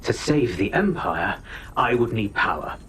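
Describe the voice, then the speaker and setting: A man's voice: lowish voice, very animated, unhurried, a bit bright, slight background noise. An actor playing an arrogant captured spy, lecturing his captors with contempt. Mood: scornful. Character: arrogant captured male spy, scornful and smug. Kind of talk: video game cutscene acting.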